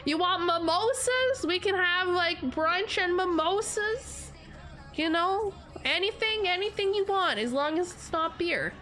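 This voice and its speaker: Stuffy-nosed bright-voiced young woman streamer. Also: very high voice, lively intonation, a little slow. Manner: chatty, giggly, rambling with many "like"s. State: stuffy and congested from allergies. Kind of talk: casual livestream chat reading comments.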